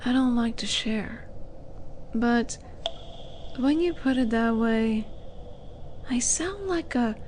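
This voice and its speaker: A woman voicing a haughty, teasing female demon in a roleplay audio drama, with a flirty, sardonic and deliberate manner. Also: fairly high pitch, animated, a little mellow, slight background noise, rough recording. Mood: teasing, intrigued.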